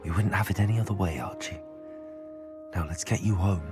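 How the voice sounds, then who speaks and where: A man's voice: deep voice, a little fast, lightly acted. A man playing an earnest, courteous teenage student wizard in a video game. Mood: caring, steady. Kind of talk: video game dialogue and spell casting. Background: music.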